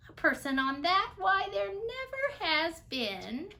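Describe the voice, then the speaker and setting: A woman's voice: very high voice, big pitch swings, bright, forceful tone, voice pushed up, exaggerated voice. A woman voicing a sour, scornful mother kangaroo in a storybook, scoffing and drawing out her words. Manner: scoffing, drawn-out.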